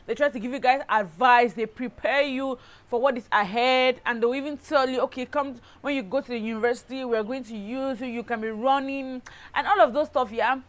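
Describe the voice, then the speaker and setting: A woman with a Cameroonian accent telling a personal story in a casual vlog style, animated and emphatic, often checking in with the listener. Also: high voice, fairly quick. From Cameroon, southwest region. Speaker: lifestyle YouTuber, host.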